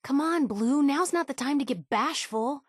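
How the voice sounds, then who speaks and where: A woman's voice: high voice, animated, moderate pace, dry recording, studio quality. An actress playing a bold, pushy young woman newspaper reporter in a video game, talking in a casual, slangy, sardonic way. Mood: wry, sardonic. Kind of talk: video game character dialogue.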